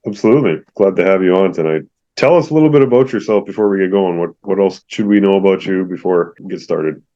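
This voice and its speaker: A man hosting an interview podcast, with a very low voice and a friendly, affirming manner. His talk is full of small fillers. The recording sounds slightly dull and lo-fi. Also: fast, warm tone. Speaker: podcast host.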